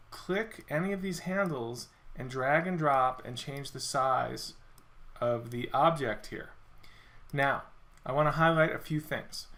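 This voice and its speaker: Man, software walkthrough, fairly high voice, a bit hoarse, relaxed explaining. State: slightly losing his voice, clearing throat. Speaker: software product presenter. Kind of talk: screencast software demo.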